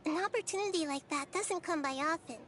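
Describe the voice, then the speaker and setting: A woman voicing a serene, wise girl deity in a video game, soft, youthful and measured as she explains. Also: very high voice, very noisy. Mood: gentle, reassuring. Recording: background music under the dialogue.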